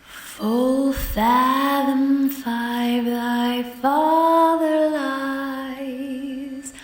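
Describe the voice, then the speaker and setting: Woman as slow, high, ethereal singing spirit. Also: flat delivery, soft background music. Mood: haunting, mournful. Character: ethereal island spirit singing a haunting dirge. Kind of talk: slow sung verse in audio drama. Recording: echoey room, remote recording.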